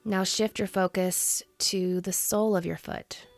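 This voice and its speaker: A woman in her thirties leading a guided meditation in a soothing, gentle, unhurried manner. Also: mid-range voice, fairly even intonation, faint music behind. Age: 30s.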